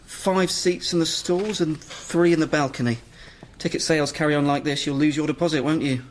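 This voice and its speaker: A man's voice: on the high side, steady tone, a little fast, a little hiss or hum, close to an everyday voice. An actor playing a young, blunt, dismissive box office man in a radio play. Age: young adult. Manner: blunt, matter-of-fact.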